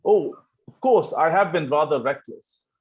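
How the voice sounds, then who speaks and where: Man reading as young dandy, flippant, charming, playful formal phrasing, fairly high male pitch, stage comedy. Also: lively intonation, measured pace, narrow, tinny audio. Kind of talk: amateur table read of Victorian comedy play.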